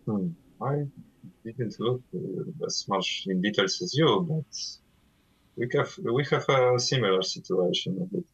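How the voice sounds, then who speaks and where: A man from Poland with a Polish accent, guest vocalist of a death metal band, speaking halting non-native English with many fillers over a call. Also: medium pitch, lively intonation, husky, muffled recording.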